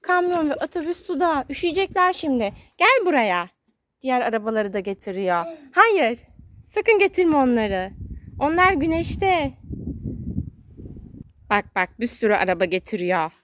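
A woman's voice: high voice, sing-song, normal speed, a bit bright. A woman talking casually to her young child in a coaxing, sing-song way as she films outdoors in a garden on a phone. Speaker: mother filming her child at play. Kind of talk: casual chat with a toddler. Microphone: handheld phone, behind the camera. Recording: faint outdoor background noise, clicks.

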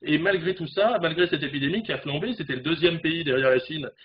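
A man in his forties speaks fast and animatedly as a correspondent over a video call. His voice sits a little high. The webcam sound is dull and carries a faint hiss. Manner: fast, animated, talks with hands. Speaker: correspondent in South Korea. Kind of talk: remote news discussion. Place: home room, indoors.

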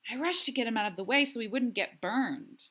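A woman's voice: fairly high pitch, fairly quick, a bit bright. A woman voicing a friendly but wounded young schoolgirl in a storybook, earnest and confiding.

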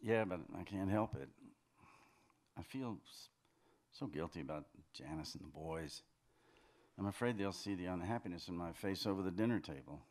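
An older man reading aloud as an insecure, needy married man, speaking softly and pleadingly into a table mic. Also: low voice, animated, moderate pace. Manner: soft, pleading, quiet. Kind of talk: live fiction reading of dialogue. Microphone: table mic on a stand.

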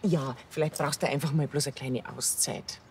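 A woman's voice: low-pitched, steady tone, moderate pace, darkish tone, slight background noise, close to an everyday voice. A woman playing a caring, cheerful bakery keeper with a Bavarian accent in a TV comedy, coaxing as she talks. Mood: encouraging. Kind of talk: TV comedy acting.

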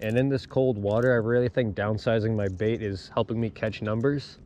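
A man's voice: on the low side, normal speed. Young man vlogging from a pond. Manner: casual, chatty, excited on catches. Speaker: fishing vlogger. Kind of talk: casual fishing vlog chat. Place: outdoors on a pond in a small boat. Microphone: action camera on chest. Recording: outdoor noise on action cam.

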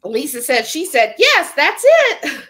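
A woman's voice: sits high, huge pitch swings, slow, a bit bright. A woman in her thirties chatting casually during a home cooking livestream, reading out viewer comments. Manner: reads viewer comments aloud. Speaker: livestream co-host. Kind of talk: casual live cooking chat. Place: home kitchen. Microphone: fixed camera mic at the table.

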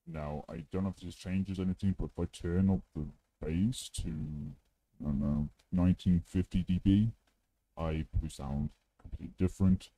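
Man, deep voice-changer effect, creaky, dry close sound, casual demo. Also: lively intonation, moderate pace, dark tone, dry recording, poor audio. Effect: deep male voice-changer filter. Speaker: tutorial presenter. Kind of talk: casual software demonstration.

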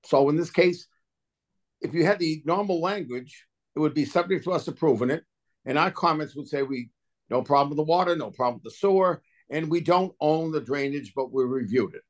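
A man's voice: on the high side, fairly quick. A man speaking as an agency official at a public meeting over a video call, explaining technical matters in plain, colloquial terms. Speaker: water and sewer agency official at a hearing.

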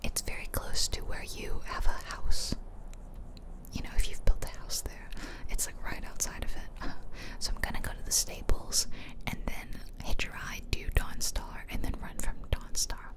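A woman softly whispering playful, chatty ASMR commentary over a video game, close to the mic. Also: normal speed. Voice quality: soft breathy whisper. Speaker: gaming host. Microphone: close stereo mic, ear-to-ear.

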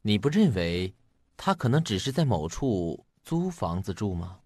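A man's voice: fairly low pitch, very animated, unhurried, darkish tone, slightly rough, dry recording, clean studio sound, heavily acted. An actor playing a paternal, somewhat uneasy middle-aged husband and father in a radio drama. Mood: probing, uneasy.

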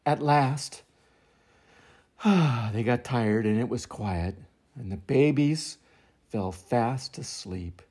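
An older man reading a children's picture book aloud as an expressive storyteller. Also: medium pitch, slow, pauses often. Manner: expressive, acts out sound effects and voices.

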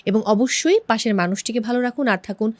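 A woman's voice: a little high, fast, darkish tone, dry recording. A woman with a Bangladeshi accent presenting a TV interview politely and appreciatively as the host. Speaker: TV talk show host.

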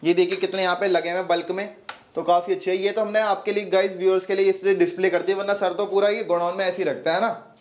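एक युवा आदमी की तेज़ और ऊँची आवाज़, जो एक लैपटॉप दुकान के अंदर से व्लॉग होस्ट कर रहा है। वह दर्शकों से सीधे और जोश के साथ बात करता है। उसका अंदाज़ बेतकल्लुफ़ है, जैसे किसी कैज़ुअल व्लॉग में होता है।. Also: male voice, high voice, little pitch movement, quick pace, a little hiss or hum. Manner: upbeat, talks straight to viewers as "guys". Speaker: vlog host. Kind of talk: casual promotional vlog.

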